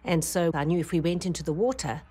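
A middle-aged woman, giving documentary testimony as a shipwreck survivor, retelling events vividly with halting restarts, in a dark studio on an off-camera mic. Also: fairly low pitch, noisy background. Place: dark indoor interview studio.

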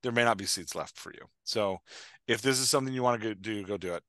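A man's voice: lowish voice, quick pace, a bit bright, dry recording, clean studio sound. A man in his forties hosting a podcast, chatting casually in a jokey, self-deprecating way into a headset mic. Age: 40s. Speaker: podcast host. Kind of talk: casual unscripted podcast banter. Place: indoor room, on a video call.

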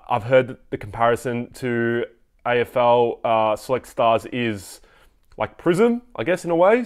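A congested, sniffly young man from Australia casually chatting as he opens trading card packs, rambling with fillers and restarts. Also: medium pitch. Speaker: card collecting channel host. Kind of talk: casual box-break commentary. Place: indoor room at a desk.

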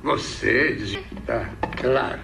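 A man voicing a grumpy, impatient old man in an animated film dub, curt and dryly sarcastic, with a Brazilian Portuguese accent. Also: mid-range voice, slow pace, creaky, reverberant, heavily acted. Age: older. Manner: curt, exasperated. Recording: distant voice under loud film score. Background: loud film music.

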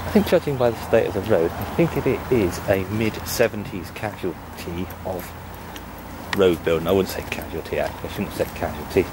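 A man's voice: deep voice, a little fast. A man giving a casual, musing walking commentary on a handheld camera outdoors. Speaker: amateur film narrator. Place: outdoors on a country path. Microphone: handheld camera microphone. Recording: noisy outdoor camcorder sound.

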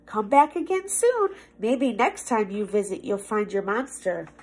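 A woman's voice: medium pitch, slight background noise, low-quality recording. A young woman reading a picture book aloud to children like a teacher, theatrical and playful, drawing words out for effect. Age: young adult. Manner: theatrical, expressive, playful, with drawn-out words and hushed asides. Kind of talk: animated storybook read-aloud for children. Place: small office room indoors.

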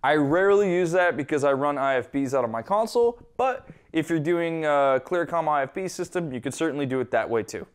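Clear-voiced man giving a casual tutorial. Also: a little high, animated, brisk, few pauses. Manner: informal, conversational, step-by-step. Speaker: instructor presenting an equipment tutorial. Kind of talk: casual hands-on tutorial explanation.

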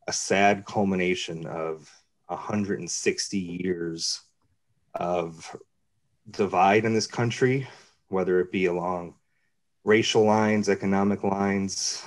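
A man who works as a journalist, speaking slowly and in a measured way at a press conference. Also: low-pitched. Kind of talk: reflective spoken commentary.

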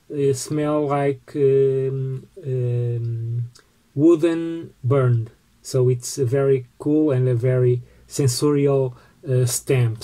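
A man in his forties with a Portuguese accent, showing his postcards on a webcam in a book-lined home study, slow and halting with frequent restarts. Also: medium pitch, mellow. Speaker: postcard collecting vlogger. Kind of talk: casual show-and-tell vlog.

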